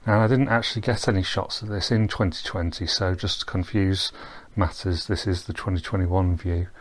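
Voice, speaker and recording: A man giving a relaxed, rambling voice-over walkthrough of a virtual boat tour, with frequent ums and restarts. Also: sits low, normal speed. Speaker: tour guide. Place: recorded while wearing VR goggles, not on the boat.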